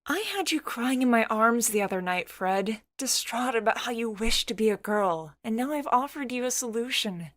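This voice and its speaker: A woman performs a young woman in a visual novel story, playful and excitable, the loyal best friend who teases. Her voice jumps high and expressive, and it is recorded very dry. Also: normal speed, few pauses, dry recording. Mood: teasing, coaxing.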